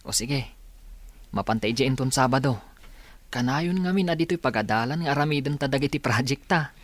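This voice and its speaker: A man's voice: mid-range voice, expressive, brisk, dry recording, natural voice. A man playing a friendly young student and best friend in an Ilocano radio drama. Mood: friendly, casual.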